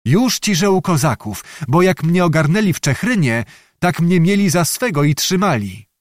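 A man voicing a chatty, devoted young servant lad, talking excitedly in rustic old-fashioned speech. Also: fairly high pitch, moderate pace, no echo, clean studio sound, pitched above the performer's own voice. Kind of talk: audiobook character voice.

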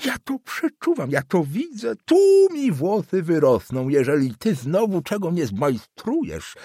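A man voicing a cranky old male official who rants in a shrill, slow voice. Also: wildly swooping pitch, slightly rough, dry recording, studio quality, heavily acted. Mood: furious. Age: older. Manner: shrill, slow, shouting tirades. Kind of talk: audiobook character voice.

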